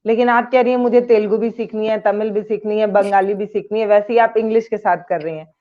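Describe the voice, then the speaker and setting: A woman's voice: mid-range voice, steady tone, quick pace, narrow, tinny audio. A woman interviewing a guest, asking probing questions and backing her up with frequent hums. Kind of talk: casual interview conversation.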